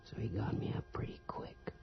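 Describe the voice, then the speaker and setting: An actor playing a guarded, plain-spoken rural father back from the grave in a radio horror drama, halting and folksy, with a breathy half-whisper. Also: close to an everyday voice. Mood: haunted, halting. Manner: terse, halting, folksy rustic speech. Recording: dull lo-fi radio recording with music.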